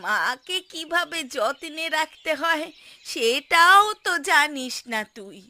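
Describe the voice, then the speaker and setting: A woman voicing a scolding elderly mother who shames her grown son in a drawn-out, nagging cartoon delivery. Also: sits high, lively intonation, sharp tone, heavily acted. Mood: scornful, nagging. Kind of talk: cartoon story voice acting.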